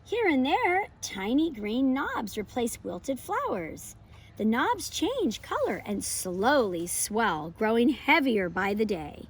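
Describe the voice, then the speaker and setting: Animated, high-pitched woman teaching children. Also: takes it slowly, slightly rough. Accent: American. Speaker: homeschool educator and channel host. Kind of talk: presenting lessons and expressive children's read-aloud.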